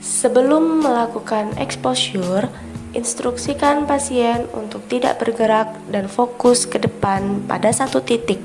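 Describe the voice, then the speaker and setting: Young woman narrating, higher voice. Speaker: student demonstrating dental radiography procedure. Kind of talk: scripted instructional narration. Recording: music clearly behind the voice. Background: background music.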